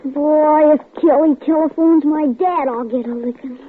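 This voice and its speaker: A woman's voice: high-pitched, moderate pace, lightly acted. An actress playing a stubborn, anxious young girl in an old radio drama, stammering and pleading as she narrates. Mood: worried. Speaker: child lead and narrator. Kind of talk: 1940s radio acting. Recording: tinny, hissy old radio broadcast.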